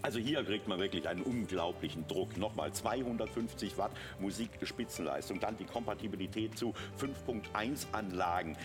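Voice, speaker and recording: A man's voice: on the low side, normal speed, hardly any pauses. A middle-aged man presenting enthusiastically on a TV shopping show, explaining products as he sells them. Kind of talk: live teleshopping product pitch. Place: TV shopping studio. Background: music.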